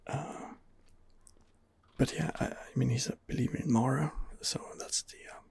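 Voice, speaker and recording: A man quietly narrating his role-play game in a breathy ASMR whisper, musing and rambling hesitantly, close to the microphone. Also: mid-range voice, steady tone, normal speed. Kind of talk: ASMR gaming commentary.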